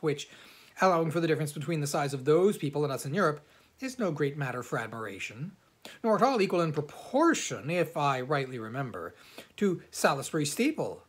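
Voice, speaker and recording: Guy with a husky voice reading an old novel out loud, formal storytelling, amateur audiobook style. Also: a little high. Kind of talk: scripted narration of a classic novel.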